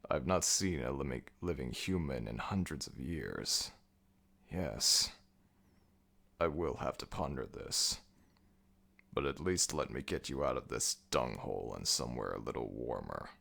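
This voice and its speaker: A man playing a commanding, brooding ancient jackal god of the dead in an ASMR audio roleplay, with a gravelly, very deep voice and a slow, imperious delivery. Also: animated, a bit bright, heavily acted. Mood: pondering, concerned.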